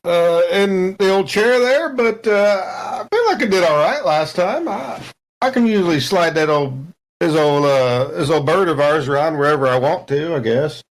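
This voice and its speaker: A man's voice: fairly high pitch, animated, normal speed, natural voice. A man voicing a folksy, overconfident spaceship crewman who rambles along with homespun sayings in a tabletop game. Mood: easygoing, boastful. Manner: drawling, rustic, rambling small talk with homespun sayings. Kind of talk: improvised in-character dialogue in a tabletop game.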